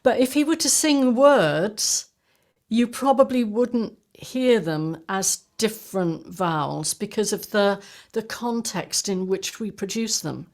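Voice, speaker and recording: An older woman voice coach explaining singing technique in a lively, explanatory way, recorded in a home studio. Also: mid-range voice, slow pace. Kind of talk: teaching conversation on singing technique.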